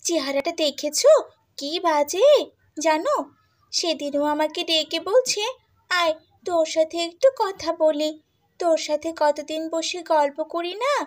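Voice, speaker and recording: A woman plays a haughty young cartoon stepsister with a high voice. She is spoiled and spiteful, sneering and whining as she talks. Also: unhurried. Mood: smug, disdainful. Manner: whiny, sneering, tattling. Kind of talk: Bengali cartoon dubbing.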